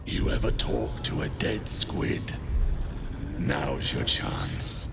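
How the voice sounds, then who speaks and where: An actor voicing a domineering male hobgoblin who barks orders and shouts incantations in a gruff, gravelly voice, in a video game. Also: very deep voice, very animated, slow pace, very noisy, exaggerated voice. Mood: gruff scheming. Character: brutish male hobgoblin cult enforcer. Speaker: villain.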